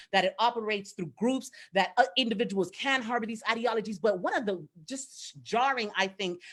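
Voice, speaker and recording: A woman's voice: mid-range voice, fairly bright tone. A woman on a discussion panel, speaking in an earnest, emphatic and reflective way. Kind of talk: panel discussion, impassioned commentary.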